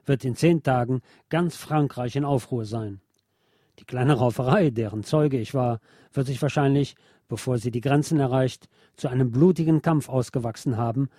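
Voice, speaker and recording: A man reading historical accounts as scripted radio narration in a measured, literary manner. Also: mid-range voice, mellow, no echo, studio quality.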